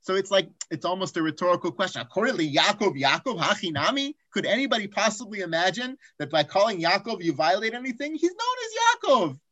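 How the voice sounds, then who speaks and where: Lively Talmud teacher, dry sound. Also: male voice, high-pitched, fast talker, hardly any pauses, dry recording, lo-fi sound. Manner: animated, asks rhetorical questions, drops to asides. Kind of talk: online Talmud class.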